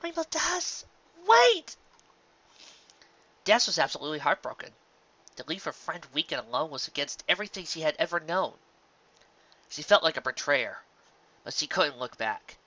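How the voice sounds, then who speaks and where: A man reading a fan fiction story aloud as narrator, in an expressive storytelling style. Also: a little high, fairly quick, muffled recording. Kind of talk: expressive story narration with occasional casual asides.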